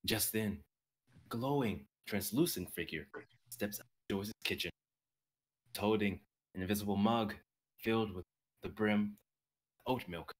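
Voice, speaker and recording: A man's voice: fairly low pitch, unhurried, pauses often. A man in his twenties narrating a drama script, sometimes stumbling over the text, on home video-call audio. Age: 20s. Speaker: narrator reading stage directions.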